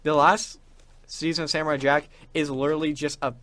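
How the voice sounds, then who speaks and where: A man's voice: on the high side, flat delivery, brisk, fairly bright tone. A young man co-hosting a podcast in casual chat, rambling with a fan's enthusiasm. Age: young adult. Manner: enthusiastic fan talk, rambling. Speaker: podcast co-host.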